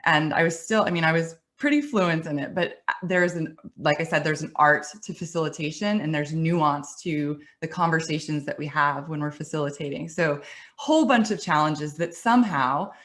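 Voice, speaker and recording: A woman's voice: sits low, normal speed, fairly bright tone. A middle-aged woman giving a webinar talk, conversational and storytelling, with some restarts and light laughs. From United States. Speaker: webinar speaker. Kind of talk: presentation talk. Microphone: webinar computer mic.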